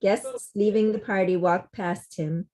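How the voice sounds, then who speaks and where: A woman's voice: medium pitch, measured pace, warm tone. A woman reading scripted stage directions as narrator of a table read over a video call.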